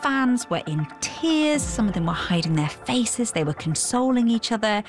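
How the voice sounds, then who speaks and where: A woman's voice: fairly high pitch, expressive, moderate pace. Woman presenting over music. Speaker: podcast host. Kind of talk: scripted narration and interviewing.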